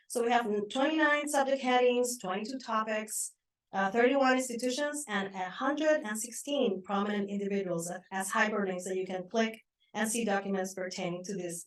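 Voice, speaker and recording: Woman rushing through a conference talk. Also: medium pitch, poor audio. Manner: brisk, rushing to finish. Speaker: conference presenter. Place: conference room.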